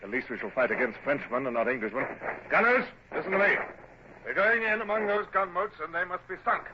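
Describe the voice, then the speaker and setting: An actor with a British accent playing a cool, commanding Royal Navy captain, measured and formal, in a vintage radio drama style. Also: male voice, fairly high pitch, animated, lightly acted. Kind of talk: vintage radio drama acting, first-person narration and orders. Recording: dull, noisy old radio drama recording.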